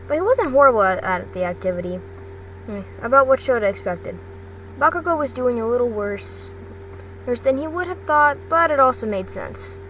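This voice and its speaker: A woman reads fiction aloud in a fairly high voice. Her delivery is halting, often stumbling and correcting herself. She sits a little away from the microphone, with faint noise behind her. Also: expressive, a bit bright, far from the mic, rough recording. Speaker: fan fiction reader. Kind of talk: amateur audiobook-style story narration.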